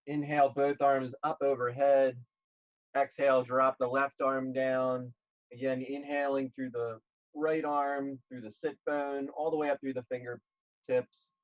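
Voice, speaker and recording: A man leading a guided yoga class, speaking gently and calmly in short, measured phrases with pauses. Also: medium pitch, lo-fi sound. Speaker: yoga instructor.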